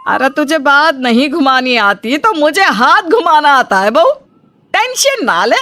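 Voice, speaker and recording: A woman's voice: high voice, very animated, a little slow, pushed and bright, no echo, full character voice. A woman voicing an indignant, domineering middle-aged mother-in-law who scolds dramatically in a comic family story. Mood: threatening.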